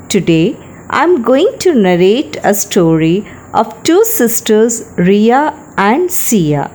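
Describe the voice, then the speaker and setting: Very slow Indian woman storyteller for children. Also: mid-range voice, warm tone. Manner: deliberate, teacherly, addressing children. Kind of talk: scripted bedtime story narration.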